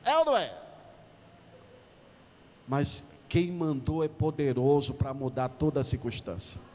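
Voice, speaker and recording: A man's voice: a little high, lively intonation, unhurried, darkish tone, a little hiss or hum. A middle-aged man from Salvador, preaching in church and calling out to the congregation. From Salvador, Bahia, Brazil. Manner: emphatic, calls out to the congregation. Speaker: pastor. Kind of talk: preaching a sermon. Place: church hall. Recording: church hall reverb.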